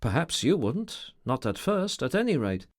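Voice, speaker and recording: A man playing a brooding, sardonic gentleman, raised in pitch. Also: sing-song, no echo, studio quality. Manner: measured, ironic, formal speech. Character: brooding, sardonic, educated middle-aged man.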